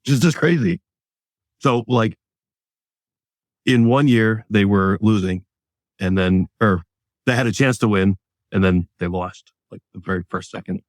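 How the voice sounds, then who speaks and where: Low-voiced chatty male co-host. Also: a little slow, long pauses, no echo. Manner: chatty, laughs easily. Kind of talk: casual talk show chat.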